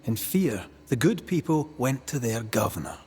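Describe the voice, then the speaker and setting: A weary, blunt banisher. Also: male voice, medium pitch, big pitch swings, darkish tone, slightly rough. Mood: accusing. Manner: measured, accusing storyteller. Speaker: Banisher, ghost hunter. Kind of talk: video game cutscene acting. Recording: long reverb under game music.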